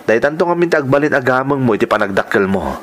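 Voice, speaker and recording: Actor as kindly uncle, expressive delivery. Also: male voice, medium pitch, very fast, slight background noise, close to an everyday voice. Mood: gently advising. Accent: Filipino. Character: kindly, fatherly uncle giving gentle advice. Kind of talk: radio drama acting.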